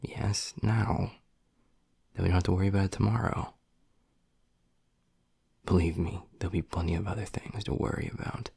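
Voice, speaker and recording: A man voicing a gruff but tender young werewolf boyfriend in an intimate audio roleplay, husky and breathy, speaking low and haltingly. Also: fairly even intonation, dark tone, no room sound, heavily acted. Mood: firm, coaxing. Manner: low, halting, half-whispered delivery.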